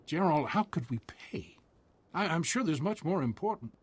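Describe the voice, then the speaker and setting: A man voicing a humble, cautious frontier militia leader in a video game, husky and folksy. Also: fairly high pitch, sing-song, normal speed, a little hiss or hum. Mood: hesitant.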